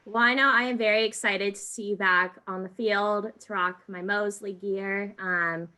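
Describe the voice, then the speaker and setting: A woman with an American accent hosts a casual interview. Her voice is bright and sits at a middle pitch, and she speaks informally with a teasing edge. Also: steady tone, normal speed. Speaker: podcast host, interviewer.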